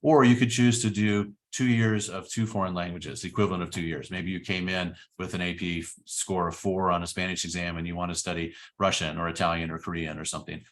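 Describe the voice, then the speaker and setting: A man's voice: deep voice, little pitch movement, fairly quick, few pauses. A middle-aged man giving an informational presentation about a study program, explaining step by step with frequent fillers. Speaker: program director.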